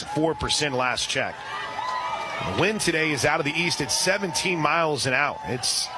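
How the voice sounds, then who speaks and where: A man calling a softball game live on radio, chatty and excitable, slipping quick asides between pitches. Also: noisy background. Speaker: radio play-by-play announcer. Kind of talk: live radio sports commentary. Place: outdoor ballpark press area.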